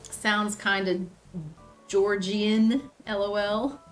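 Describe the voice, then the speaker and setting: A woman's voice: mid-range voice, little pitch movement, extremely slow. Woman, Southern US accent, streamer, chatty, headset mic, faint game music behind. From Southern United States. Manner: chatty, reads chat aloud, frequent ums. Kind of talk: casual gaming stream chat. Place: small room indoors.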